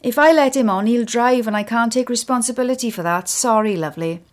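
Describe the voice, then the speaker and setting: A woman's voice: fairly high pitch, fast talker, pitched above the performer's own voice, natural voice. A woman voicing a bus driver who is apologetic but firm and calls people "lovely".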